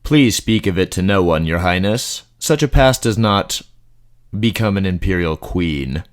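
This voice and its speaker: A man playing a male dark elf general, composed, commanding and formally courtly, in a fantasy audio drama. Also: sits low, lively intonation, a little slow. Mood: measured, guarded.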